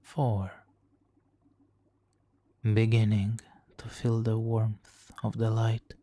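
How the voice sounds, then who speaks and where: A man's voice: on the low side, monotone, drawn-out words, warm tone. A man playing a suave, manipulative supervillain in an ASMR roleplay, silky and theatrically polite, smugly doting and sometimes slipping into whispers. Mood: soothing, hypnotic. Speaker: audio roleplay performer. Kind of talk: ASMR roleplay, hypnotic countdown.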